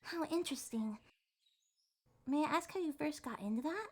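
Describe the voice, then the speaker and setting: A woman plays an adorkable young fox girl in an ASMR roleplay. Her voice is high, and she talks in prim, wordy phrases with flustered hesitations, trying hard to sound mature. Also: lively intonation, normal speed. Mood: curious, encouraging. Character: adorkable young adult female kitsune fox, flustered, primly formal. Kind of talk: ASMR roleplay, acted one-sided date conversation.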